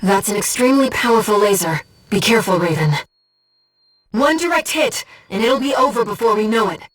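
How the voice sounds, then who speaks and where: Woman voicing a soft-edged, husky, measured game companion. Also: medium pitch, animated, distant mic, lightly acted. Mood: urgent alert. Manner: measured, earnest, precise, gently formal. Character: calm, devoted female disembodied voice guiding a pilot. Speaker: mission support companion. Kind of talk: scripted video game voice acting.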